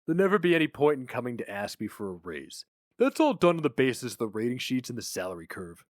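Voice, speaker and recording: Guy doing a bossy corporate manager in a story reading, brisk n condescending, raised pitch, dry sound. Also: sing-song, dry recording, lightly acted. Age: 30s. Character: brash, officious office boss man in his thirties.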